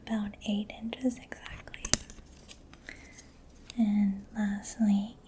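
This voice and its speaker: A woman doing soft-spoken ASMR roleplay in a breathy whisper, gentle, attentive and reassuring, close to the microphone. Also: medium pitch, level tone, drawn-out words. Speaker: ASMR performer. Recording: faint background noise.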